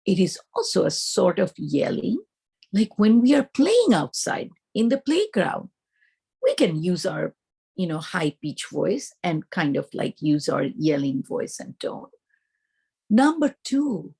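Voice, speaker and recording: Woman, teacher trainer, Indian accent. Explanatory delivery with a gravelly edge, often asking and answering her own questions. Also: on the low side, a little mellow. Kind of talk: instructional presentation to teachers.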